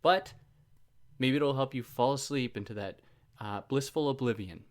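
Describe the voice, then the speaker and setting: A man talks through a philosophy text in a relaxed, chatty way, as if explaining it to a friend. He jokes at his own expense and slips into side points before coming back on track. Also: medium pitch, moderate pace. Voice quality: soothing voice. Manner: chatty, self-deprecating, goes off on tangents. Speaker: philosophy podcast host. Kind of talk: casual explanatory lecture.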